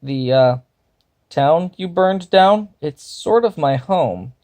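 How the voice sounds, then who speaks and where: A man's voice: expressive, takes it slowly, darkish tone. A man voicing a nervous young male would-be hero who stammers and blusters. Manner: stammering, flustered, higher-pitched.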